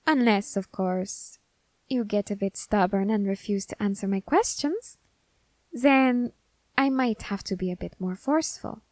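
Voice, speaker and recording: A woman voicing a curious, androgynous grim reaper in an ASMR roleplay who questions a captive demon in a slow, teasing, softly whispered way. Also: mid-range voice, no echo, natural voice.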